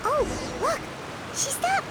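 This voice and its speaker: A woman voicing a small, timid, excitable fairy girl companion in a video game, with a squeaky childlike voice and chatty, exclamatory manner. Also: slow pace, exaggerated voice. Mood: excited, urgent. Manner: chatty, exclamatory, refers to herself in third person.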